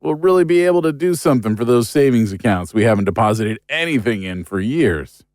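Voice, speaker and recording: A man's voice: medium pitch, animated, fairly quick, darkish tone, dry recording, studio quality, lightly acted. A man playing an upbeat investigator bantering with his partner in an audio drama, casual and chummy. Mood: pleased.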